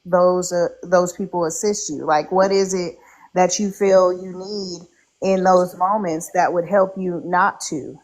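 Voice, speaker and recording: A woman's voice: on the low side, steady tone, a little mellow. A woman in a casual live-stream talk who pushes hard with repeated questions and swears freely. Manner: pushy, profane, rapid questioning.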